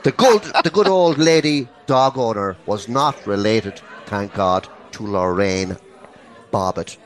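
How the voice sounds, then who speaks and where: A man's voice: on the low side, expressive, slow pace. A man co-hosting a comedy podcast, telling bawdy stories crudely and with theatrical emphasis. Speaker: podcast co-host, comic storyteller. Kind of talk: bawdy comic storytelling.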